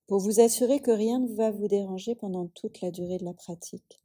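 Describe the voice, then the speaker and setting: A woman yoga teacher leading a guided relaxation in a soothing, unhurried way, leaving long pauses between phrases. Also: mid-range voice, mellow.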